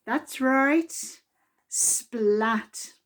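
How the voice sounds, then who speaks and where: Female storytime reader, high voice, very unhurried pace, expressive delivery pitched at young children. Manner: deliberate, expressive reading aimed at young children.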